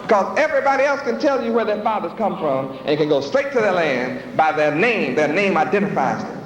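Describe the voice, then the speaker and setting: High-voiced preacher in an echoey hall. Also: male voice, sing-song, a little fast. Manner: rhetorical questions, repetitive preaching cadence. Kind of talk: sermon with acted dialogues. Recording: echoey hall, dull lo-fi sound.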